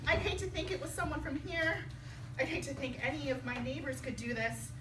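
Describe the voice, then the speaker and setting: A woman's voice: sits high, a little fast, close to an everyday voice. A woman playing a shaken, earnest homeowner in a staged reading, speaking hesitantly. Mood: shaken, subdued. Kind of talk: staged reading before an audience. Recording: echoey stage, distant mic, noisy room.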